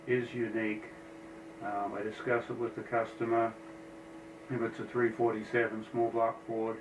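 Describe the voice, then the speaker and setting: A man with a mixed New Zealand and American accent, an engine builder talking plainly in a shop vlog, with many ums and uhs. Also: fairly low pitch. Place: office. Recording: echoey room, noisy camera sound with breakup.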